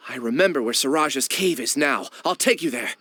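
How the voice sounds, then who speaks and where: A man's voice: medium pitch, lively intonation, fairly quick, heavy background noise, lightly acted. An actor playing an earnest, apologetic young man in a video game.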